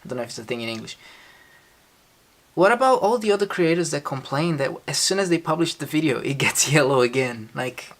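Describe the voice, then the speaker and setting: A man's voice: a little high, lively intonation, brisk, a little hiss or hum. A man gives casual vlog commentary, reacting to a clip in an exasperated, sarcastic, incredulous way. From Brazil. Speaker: reaction vlogger.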